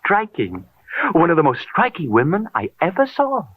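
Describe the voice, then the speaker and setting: An actor playing a pompous, childish, eccentric millionaire toymaker in an old radio drama, with fussy, affected diction. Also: male voice, fairly high pitch, wildly swooping pitch, normal speed, heavy background noise. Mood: sly, dreamy. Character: eccentric, childish millionaire toy company president, pompous and prissy. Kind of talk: 1940s-50s radio drama acting. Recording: tinny old-time radio recording.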